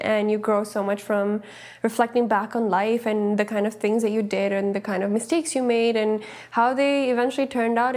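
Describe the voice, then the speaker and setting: A woman's voice: on the high side, a little mellow. A woman in her mid twenties speaking thoughtfully and earnestly in a documentary interview.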